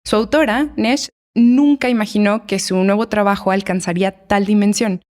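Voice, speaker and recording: A woman narrating a documentary podcast in a measured, scripted storytelling voice. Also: medium pitch, fairly even intonation, darkish tone.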